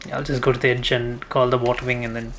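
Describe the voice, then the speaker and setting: A man's voice: mid-range voice, flat delivery, quick pace, fairly bright tone, a little hiss or hum. A man giving casual, informal playthrough commentary as a gaming streamer, reactive and prone to swearing.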